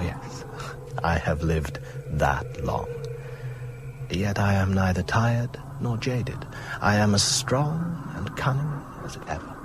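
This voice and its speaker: A man's voice: lowish voice, background noise. An actor playing an ancient, cunning male vampire in a radio horror drama, suave, gloating and mockingly courteous. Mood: suave, boastful. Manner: theatrical, slow, mockingly courteous.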